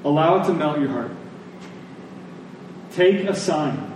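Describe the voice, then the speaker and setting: A man preaching a sermon to a congregation, phrasing deliberately and emphatically and repeating lines for effect. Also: fairly high pitch, expressive, a little mellow. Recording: echoey room, distant mic, faint noise.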